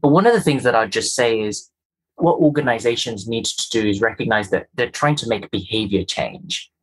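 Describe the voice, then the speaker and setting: Husky-voiced man, earnest and emphatic. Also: medium pitch, moderate pace, poor audio. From Kenya. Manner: earnest, emphatic, builds points in lists of rhetorical questions. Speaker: guest, culture and inclusion executive. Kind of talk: conversational interview, explanatory.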